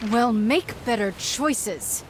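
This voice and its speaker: A woman's voice: on the high side, lively intonation, slow pace, slight background noise. A woman playing a hard-bitten pirate captain in a video game, speaking in a steely, sardonic and threatening way. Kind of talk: video game character acting.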